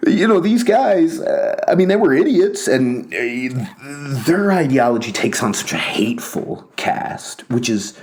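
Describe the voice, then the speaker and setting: A man talking off the cuff in a rambling, halting way, full of fillers and restarts, with a wry self-mocking streak. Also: medium pitch, big pitch swings, a little mellow. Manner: wry, laughs at himself. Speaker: commentator on books and ideas. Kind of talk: casual monologue.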